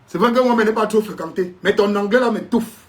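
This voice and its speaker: A man with a Cameroonian French accent delivers an indignant, preachy rant. His voice sits high for a man, and he turns sarcastic, mixing in mocking English. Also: fairly quick. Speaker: commentator. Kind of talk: ranting video commentary.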